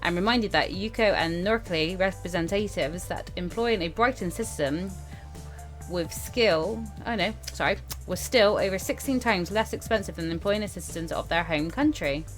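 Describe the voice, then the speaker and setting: A woman's voice: lowish voice, normal speed. A woman hosting a casual let's-play, reading game text aloud with informal asides and stumbles over the written words. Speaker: gaming video host. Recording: music behind the voice.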